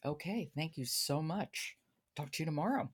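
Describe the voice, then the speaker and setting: An American woman in middle age with a low-pitched voice hosts a book podcast. She talks conversationally, as one does with a regular colleague. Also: normal speed, slightly rough. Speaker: podcast host.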